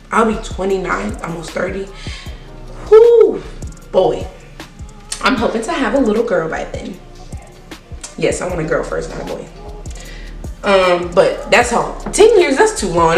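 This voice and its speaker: A nineteen-year-old woman, chatting casually and rambling through a Q&A vlog. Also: medium pitch. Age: teens. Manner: chatty, rambling, repeats herself. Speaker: vlogger. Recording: roomy, distant camera sound with faint music.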